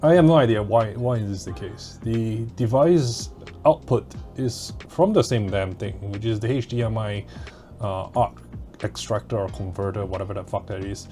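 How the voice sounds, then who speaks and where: A man's voice: on the low side, normal speed, warm tone, faint music behind. A man giving a casual hands-on gear review in a blunt, mildly exasperated, sweary way. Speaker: tech reviewer.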